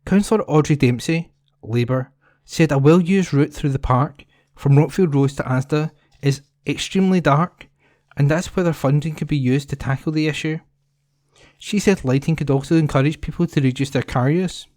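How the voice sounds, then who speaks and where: A man's voice: on the high side, normal speed, a little mellow, dry recording, clean studio sound. A man reading local newspaper articles aloud as a volunteer. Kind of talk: scripted news reading for a talking newspaper.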